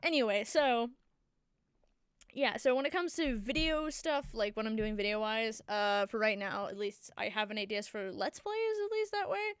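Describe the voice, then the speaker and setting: A young woman with a congested voice chats about her plans as a gaming channel host. Her pitch sits a little high and her voice is smooth and clear. The recording is close and dry, with no room sound. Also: normal speed, no echo. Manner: rambling, many fillers and restarts. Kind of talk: casual chat.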